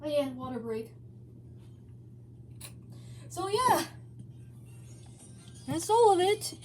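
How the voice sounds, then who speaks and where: A woman's voice: extremely high pitch, big pitch swings, drawn-out words, soft background music. A woman hosting a dramatic fan fiction reading, theatrical but casual, sometimes stumbling over words. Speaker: story reader, channel host.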